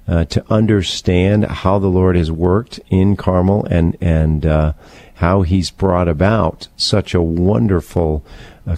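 A man co-hosting a conversational book discussion, explaining earnestly in a halting, deliberate way. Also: low-pitched, vocal fry, warm tone. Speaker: co-host presenting book recommendations.

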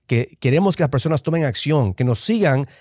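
A man co-hosts a podcast in a casual, conversational way. His voice is a little high and closely recorded with a dry sound, and he often halts to rephrase. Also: animated, fairly quick, darkish tone, no room sound. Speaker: podcast co-host. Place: remote call.

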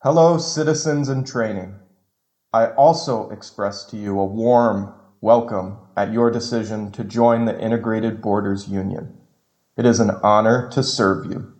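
An actor playing a solemn government enforcer who addresses recruits in a grave, formal, dramatic manner in an audio drama. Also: male voice, lowish voice, steady tone, unhurried, darkish tone, lightly acted. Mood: formal welcome.

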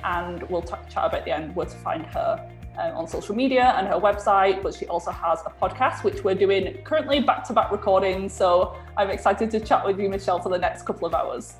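Twenty-something woman hosting a podcast, relaxed chatty interviewer, roomy echo. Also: medium pitch, a little fast, soft background music. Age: 20s. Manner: clear scripted intro, then chatty with fillers. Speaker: podcast host. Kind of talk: podcast intro and interview chat.

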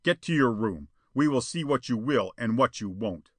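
A man voicing a stern, bitter, domineering father in a storybook, with a gruff, growling, commanding delivery. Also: mid-range voice, lively intonation, normal speed, dry recording, close to an everyday voice. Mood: angry.

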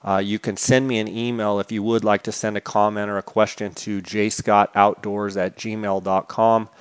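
A man's voice: lowish voice, little pitch movement, moderate pace, clean tone, no room sound, dull audio. A man hosting his podcast, reading sponsor messages in a casual way with many filler sounds and restarts. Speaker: podcast host. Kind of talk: podcast intro with sponsor reads.

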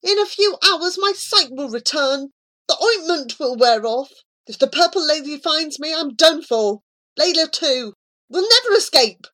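A woman's voice: high-pitched, expressive, unhurried, voice pushed up, exaggerated voice. A woman doing a despairing blinded boy. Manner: loud and desperate.

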